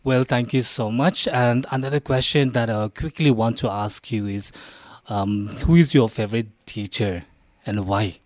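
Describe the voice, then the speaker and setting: A man's voice: mid-range voice, normal speed, no room sound. A man, hosting a live radio interview in a formal, polite manner. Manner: formal, polite, addresses listeners directly. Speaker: radio host.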